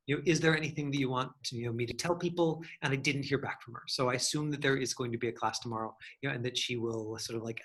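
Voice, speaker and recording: A man's voice: medium pitch, bright, forceful tone, rough recording. A man leading a casual online class as an acting teacher, thinking aloud in a rambling, hedging way as he answers questions.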